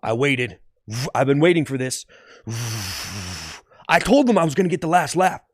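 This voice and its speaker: A man's voice: mid-range voice, big pitch swings, slightly rough, no room sound, clean studio sound. A man voicing a spiteful, smug volcano in a comedy skit, gloating over its rivals and repeating itself. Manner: smug, gloating, slow and repetitive. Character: gloating, spiteful volcano having its last laugh.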